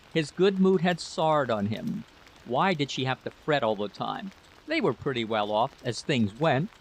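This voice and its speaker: A man's voice: mid-range voice, slight background noise, low-quality recording. A man narrating a scripted story as a literary reader.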